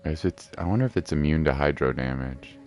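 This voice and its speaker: A man's voice: a bass voice, brisk, a little mellow, a little hiss or hum. A man giving casual, playful gameplay commentary as a streamer, often repeating his phrases. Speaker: game streamer.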